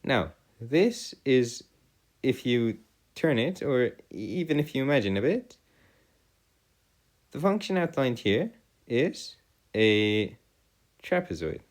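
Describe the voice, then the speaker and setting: Guy teaching math, talks slow and kinda formal, pitch moves around a lot, keeps correcting himself. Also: sing-song. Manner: formal, old-fashioned wording; halting, self-correcting. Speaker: tutor. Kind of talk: improvised math and physics lesson.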